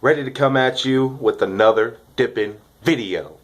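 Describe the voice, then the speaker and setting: A man's voice: mid-range voice, moderate pace. A young man, congested from a cold, chatting casually and cheerfully in a rambling vlog with lots of fillers. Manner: rambling, upbeat, filler-heavy. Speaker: vlogger reviewing dip tobacco.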